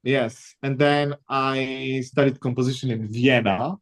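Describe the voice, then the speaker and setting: A man's voice: medium pitch, fairly even intonation, unhurried. A man from New York, a cellist and composer, chatting eagerly and repeating himself. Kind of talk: casual chat.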